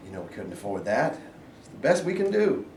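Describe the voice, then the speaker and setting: A man's voice: medium pitch, big pitch swings, brisk, darkish tone, lightly acted. A man playing a poor, grudging, bigoted sharecropper in a staged play reading, with folksy, repetitive talk. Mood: resigned, mournful. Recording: echoey room, distant mic, background noise.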